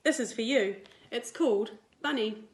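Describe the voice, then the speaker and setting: A woman's voice: a little high, moderate pace, slight background noise, lightly acted. A woman voicing a kind, eager little boy in a picture book. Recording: roomy indoor echo.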